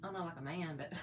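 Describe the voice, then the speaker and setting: A middle-aged woman with a slightly hoarse voice, chatting in a folksy, rambling way while she shows her makeup routine. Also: lowish voice, warm tone, muffled recording. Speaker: makeup vlogger. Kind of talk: casual makeup tutorial chat.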